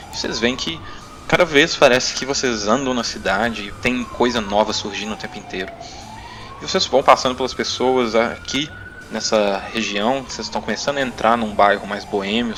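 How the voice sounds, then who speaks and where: A man's voice: fairly low pitch, noisy background. A Brazilian man narrating a tabletop role-playing game as game master, improvising in a conversational way and thinking aloud. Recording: background music under the voice. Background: clear music.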